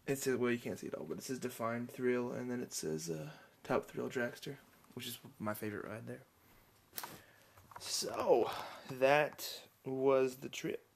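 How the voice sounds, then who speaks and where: A tired young man rambling in a low-key way to a webcam from his bedroom, recording a casual video diary. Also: measured pace, creaky, slight background noise. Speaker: vlogger. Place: bedroom at home.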